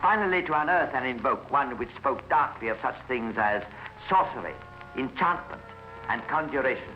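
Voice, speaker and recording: A man's voice: mid-range voice, animated, normal speed. A British man narrating a scripted 1950s radio crime story in a formal, precise storytelling manner. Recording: muffled, noisy old radio recording.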